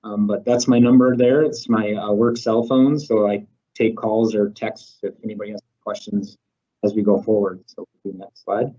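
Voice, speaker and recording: A man's voice: fairly low pitch, fairly even intonation, normal speed, mellow. A man speaking as a recruiter, presenting company slides in a plain, matter-of-fact way with some fillers. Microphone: video call. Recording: muffled video call audio.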